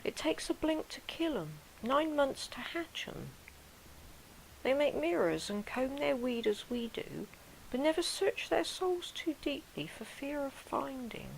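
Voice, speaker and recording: A wondering, wary mermaid, played by a woman recites verse in a high, creaky voice. The delivery is slow, breathy storytelling, with a faint hiss behind it. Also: sing-song, full character voice, rough recording. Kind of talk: dramatic poetry reading.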